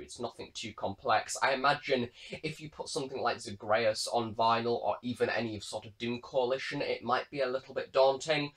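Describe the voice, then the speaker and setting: A man's voice: medium pitch, a bit bright. A British man giving a casual, chatty product review in a rambling way. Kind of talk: casual unboxing review talk.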